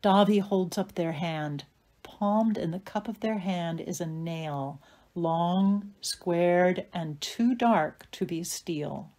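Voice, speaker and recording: A woman performing as the narrator of a dark fairy tale audio play, in scripted dramatic narration. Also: fairly low pitch, takes it slowly. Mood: measured narration.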